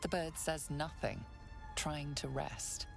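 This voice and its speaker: A grave game narrator with a low voice and a gravelly edge. She reads deliberately, in a hushed storybook way, with music clearly behind her. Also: heavily acted. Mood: grave, measured. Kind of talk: scripted second-person narration.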